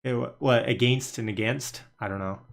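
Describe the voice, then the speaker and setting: A man's voice: mid-range voice, steady tone, moderate pace, vocal fry. A young man from Canada hosting a casual live stream on the stock market, chatting and laughing as he reads viewer comments aloud. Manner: chatty, laughs while reading chat aloud. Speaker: livestream host and day trader.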